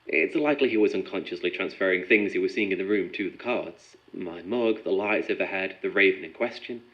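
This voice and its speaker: A man's voice: low voice, brisk, natural voice. An actor playing a composed, methodical male researcher in an audio drama, speaking in a clinical, probing, precise way. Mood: businesslike, dissatisfied. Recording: muffled sound with music behind.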